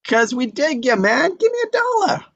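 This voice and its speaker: Man putting on a high-pitched, cheeky street kid. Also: very animated, normal speed, creaky, no room sound, muffled recording, full character voice. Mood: wheedling. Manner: slangy wheedling. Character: cheeky, pushy teenage street boy. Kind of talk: screenplay table read acting.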